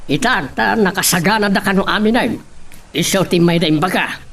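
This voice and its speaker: Man playing an insistent, creaky high-voiced older leader. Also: brisk, fairly bright tone. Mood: resolute. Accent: Ilocano Filipino. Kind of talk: Ilocano radio drama acting.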